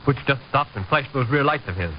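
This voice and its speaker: An actor playing a brisk, alert border patrolman with a clipped, matter-of-fact manner, in old radio drama style. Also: mid-range voice, lively intonation, natural voice. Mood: puzzled. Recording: dull, faintly noisy vintage radio recording.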